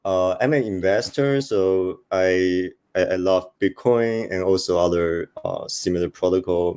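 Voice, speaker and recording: A man with a Taiwanese accent speaking informally on a panel, halting and hesitant, often repeating words. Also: deep voice, a little mellow. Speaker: conference panelist, investor.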